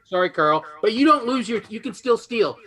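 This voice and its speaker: A man with a high, strikingly bright voice hosts a quiz game. He speaks in a lively, partly improvised way, with a sharp, forceful edge to his tone. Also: normal speed. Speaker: game show host.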